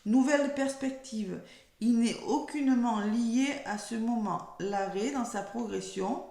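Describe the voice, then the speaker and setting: French lady doing a tarot reading, hoarse almost no voice, bit high, echoey room. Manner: soft-spoken, slow and measured when reading cards aloud. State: losing her voice, hoarse. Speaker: tarot card reader. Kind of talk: weekly horoscope card reading.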